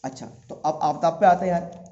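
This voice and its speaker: Dull lo-fi recording, man, high voice, informal teacher, repetitive step-by-step explaining, self-answered questions. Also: fairly quick, warm tone. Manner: repetitive, asks questions and answers them himself; addresses students fondly as children. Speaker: maths teacher. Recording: dull lo-fi audio.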